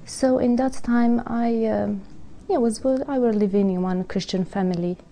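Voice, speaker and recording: Young woman, gravelly and warm. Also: a little high, moderate pace. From Serbia. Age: young adult. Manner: hesitant, searching for words with many fillers. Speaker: guest. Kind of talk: personal testimony.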